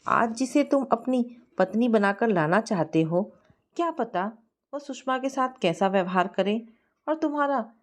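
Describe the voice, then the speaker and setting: Female narrator as older mother in a family story, coaxing, reasoning, animated melody. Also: a little high. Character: persuasive older mother pressing her son to marry.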